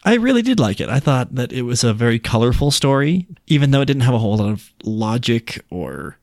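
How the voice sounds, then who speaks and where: A man co-hosts a storytelling podcast with a lively, varied pitch. His voice sits at a middle height in a very dry recording. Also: a little fast, no echo. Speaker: podcast co-host. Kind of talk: casual chat and storybook reading of folk tales.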